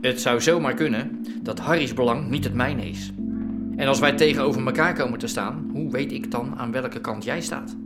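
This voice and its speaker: An actor playing a wary, scheming crime boss in a radio drama. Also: male voice, medium pitch, normal speed, over loud music, natural voice. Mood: suspicious.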